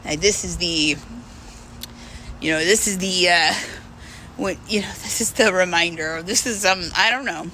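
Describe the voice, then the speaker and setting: A woman talking in a casual, confessional monologue, candid and halting, repeating words for emphasis. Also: fairly low pitch, sharp tone, slight background noise, poor audio. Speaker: vlogger.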